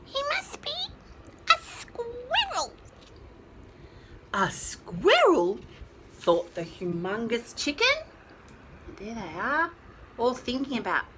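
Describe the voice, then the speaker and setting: Very animated, slow-paced woman reading to children. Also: high voice, a little hiss or hum. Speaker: children's storyteller. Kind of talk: expressive read-aloud with chatty asides to small children.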